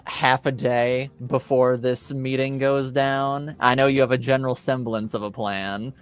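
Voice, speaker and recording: Halting male game master over music. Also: medium pitch, little pitch movement. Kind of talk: unscripted tabletop game narration and recap. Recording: clear music under the voice. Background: background music.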